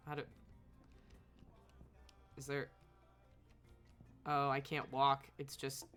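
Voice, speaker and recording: Slow-paced, bright-voiced game streamer. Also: mid-range voice, monotone, smooth, soft background music. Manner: sweary, self-talking, playful. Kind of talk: casual live gameplay commentary.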